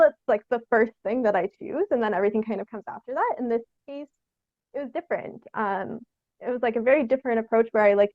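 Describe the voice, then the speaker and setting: A young woman from Canada, chatting casually in an interview as a theatre artist, haltingly thinking aloud with lots of "like". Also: a little high, dry recording, lo-fi sound. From Sault Ste. Marie, Canada. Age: young adult.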